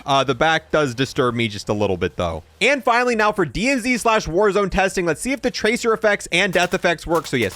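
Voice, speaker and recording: A young man with a slightly high voice and very lively intonation presents a gameplay showcase. He talks casually and informally, like a matter-of-fact gaming host, over light background music. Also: dry recording.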